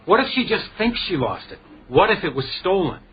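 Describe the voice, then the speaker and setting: An actor playing an earnest, level-headed police detective in a radio drama, dogged and probing. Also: male voice, sits high, sing-song, a little fast. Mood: excited deduction. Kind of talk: radio drama acting with narration. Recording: dull, noisy radio drama recording.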